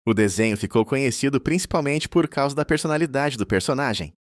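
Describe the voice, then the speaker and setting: A man's voice: medium pitch, expressive, fast talker, no room sound, clean studio sound. A man with a Brazilian accent narrating a horror story as host of a video.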